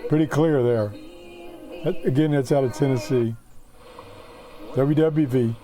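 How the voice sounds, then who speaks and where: A man hosting a casual hobby radio show from his workshop, talking off the cuff in a halting, matter-of-fact way with pauses mid-sentence. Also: mid-range voice, dark tone, soft background music. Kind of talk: casual unscripted radio demonstration. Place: home workshop.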